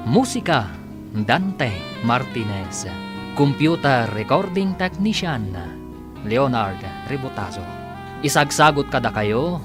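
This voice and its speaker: A man announcing and recapping a radio drama in a formal, emphatic style. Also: medium pitch. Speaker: announcer and narrator. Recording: radio broadcast over guitar music.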